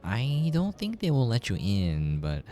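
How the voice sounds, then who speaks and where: A man's voice: mid-range voice, huge pitch swings, measured pace, dark tone, faint music behind. A man giving casual commentary while he plays through a game. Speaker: game preview player.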